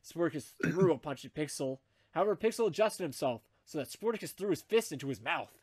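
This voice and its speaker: A man narrating fan fiction in an amateur comedic group reading, animated and dropping wry asides. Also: on the high side, a little fast, breathy edge.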